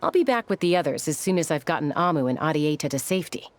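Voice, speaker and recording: Low-voiced, wary young scout. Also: female voice, lively intonation, soft background music. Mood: brisk. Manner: measured, guarded. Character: wary, serious young woman scout, protective and skeptical. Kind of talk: video game voice acting.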